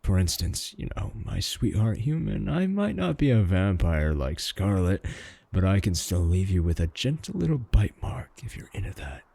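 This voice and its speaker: A man acts out a boastful, doting male werewolf in a comic audio drama. His voice is lowish and husky, with big swings in pitch as he drawls and mocks his rival. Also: sing-song, normal speed, mellow, no echo, full character voice. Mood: flirty, boastful. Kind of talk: comedic audio roleplay acting.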